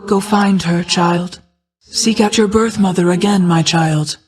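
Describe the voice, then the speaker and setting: A woman playing a calm, motherly goddess of night in a video game, speaking in a measured, formal and stately manner. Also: on the low side, lightly acted. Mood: composed, encouraging. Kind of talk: scripted video game character lines.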